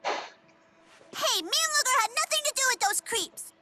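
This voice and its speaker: A woman voicing a spunky, innocent little girl with a childlike, squeaky voice in a video game. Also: lively intonation, measured pace, very noisy. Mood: indignant, pleading. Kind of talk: English video game voice acting.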